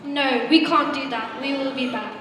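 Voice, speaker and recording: A woman in an amateur stage play acting a brisk, curt police officer who gives orders. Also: sits high, fairly even intonation, a bit bright. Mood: commanding. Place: large echoey indoor hall, far from the mic. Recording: distant, very echoey hall with audience noise.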